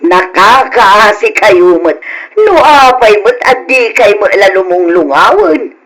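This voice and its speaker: A woman's voice: mid-range voice, expressive, slow, a bit bright, low-quality recording. Boastful, sing-song village gossip woman. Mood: taunting. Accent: Ilocano. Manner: taunting, gossipy, exaggerated sing-song swings. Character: boastful, mocking middle-aged village woman, nosy relative. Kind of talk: old Ilocano radio drama acting.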